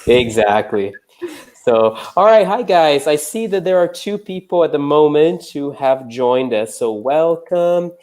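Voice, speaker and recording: A young adult man from Brazil, an English teacher, chatting in a relaxed way during a live online lesson with many uh and um fillers. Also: on the high side, measured pace.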